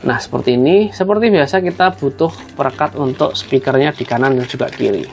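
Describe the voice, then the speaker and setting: Gravelly man giving a casual tutorial. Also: medium pitch, brisk, darkish tone, faint music behind. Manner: conversational, often addressing viewers as friends. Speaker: host of a tutorial video. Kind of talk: casual step-by-step hands-on tutorial.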